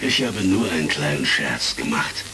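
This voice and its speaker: A man's voice: very deep voice, sing-song, moderate pace, vocal fry, exaggerated voice. A man playing a genial, joking secret service chief in a retro science-fiction radio drama. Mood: jovial. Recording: crackly vintage record, distant, music behind.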